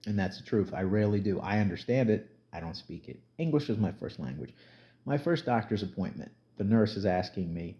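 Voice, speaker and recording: Middle-aged New man, low voice, blunt vlog commentary; dull lo-fi sound. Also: animated, a little fast. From New Jersey, USA. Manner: blunt, conversational, emphatic rants with plain talk. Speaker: YouTube commentator. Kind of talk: casual spoken commentary vlog.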